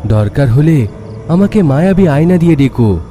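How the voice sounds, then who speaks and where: A man playing a protective husband in a cartoon, speaking in a calm, reassuring manner. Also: a little high, normal speed, a little hiss or hum. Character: protective husband with magical powers.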